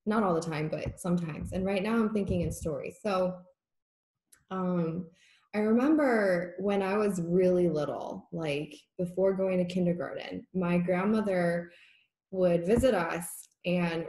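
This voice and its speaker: A woman's voice: fairly low pitch, darkish tone. Rambling, laughing woman on a roomy call. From United States, Massachusetts. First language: Korean. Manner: rambling, laughs often, many filler words. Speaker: conversation guest. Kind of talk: casual reflective chat. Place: home room. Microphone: video call laptop mic. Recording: roomy echo on a video call.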